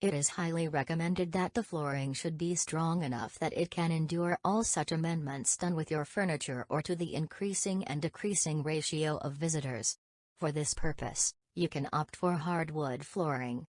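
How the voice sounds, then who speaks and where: A woman's voice: sits low, normal speed, a little mellow, no echo, clean studio sound. A woman reading an expository passage aloud from a script, as for exam practice.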